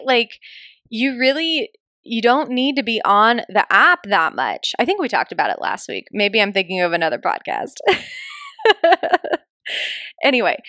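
A woman's voice: a little high, a bit bright, no room sound, studio quality. A woman hosting her own podcast, chatting casually, laughing often and checking in with "right?". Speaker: podcast host. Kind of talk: casual solo podcast talk.

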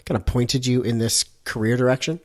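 A man's voice: medium pitch, fairly even intonation, a little fast, a little mellow. A man hosting a podcast, asking conversational questions in an informal, playfully teasing way. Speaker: podcast host, interviewer. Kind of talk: casual interview, conversational questions.

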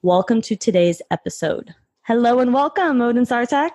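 A woman's voice: fairly high pitch, expressive, normal speed, a little mellow, dry recording, clean studio sound. A woman hosting a podcast interview, upbeat and encouraging with her guests. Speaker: podcast host.

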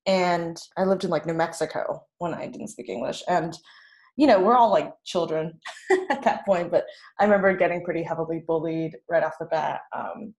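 A woman's voice: fairly low pitch, normal speed, a little mellow. A woman whose first language is Vietnamese, a songwriter guest telling her story in a candid, chatty way, full of "like" and little laughs. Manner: chatty, filler-heavy, frequent "like" and laughs.

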